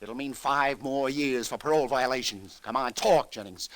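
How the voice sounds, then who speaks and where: A man's voice: medium pitch, moderate pace, lightly acted. A man playing a world-weary American police lieutenant. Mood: pressing, urgent. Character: world-weary, sympathetic male New York police lieutenant. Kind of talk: old-time radio detective drama acting.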